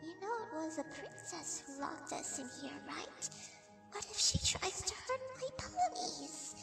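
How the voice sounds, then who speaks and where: A woman voicing an excitable shadow creature mother in an animated fan dub, sweet but wary, effusive and exclaiming. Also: very high-pitched, unhurried, creaky, distant mic, over music, full character voice.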